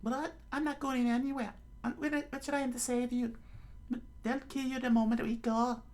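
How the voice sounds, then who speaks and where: An anxious, scheming young woman, put on by a male reader. The pitch sits far up in a thin falsetto, and the delivery is fretful, as in a read-aloud adventure story. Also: little pitch movement, moderate pace, darkish tone, natural voice. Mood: worried.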